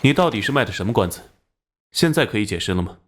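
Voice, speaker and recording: Impatient police captain, lively pitch. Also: male voice, fast talker, noisy background. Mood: impatient, demanding. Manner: direct, questioning, official. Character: no-nonsense male police captain, impatient and forceful. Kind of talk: animated crime drama acting.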